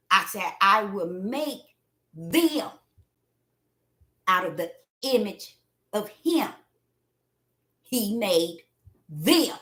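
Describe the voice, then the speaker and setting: Woman preacher, bright high voice, lively swooping pitch, slow deliberate delivery, echoey room. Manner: preachy, repetitive, rising to fervent shouts. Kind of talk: livestream sermon.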